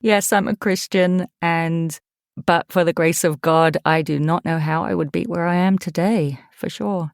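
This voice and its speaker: A woman's voice: lowish voice, fairly even intonation, unhurried, gravelly, dry recording, studio quality. A middle-aged woman with an English accent, chatting casually as a guest in an interview. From East Anglia, England. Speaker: podcast guest, voice actor.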